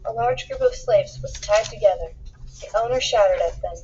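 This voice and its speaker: A woman reading a children's picture book aloud in an expressive storytelling style, acting out the dialogue with emphasis. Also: medium pitch, moderate pace, muffled recording, a little hiss or hum. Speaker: storybook reader.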